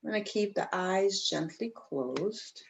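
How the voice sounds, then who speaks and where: A woman teaching yoga, guiding breathing and meditation in a gentle, soothing way, with pauses between phrases. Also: medium pitch, expressive, creaky, lo-fi sound. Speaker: yoga teacher leading a class. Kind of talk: guided breathwork and meditation instruction.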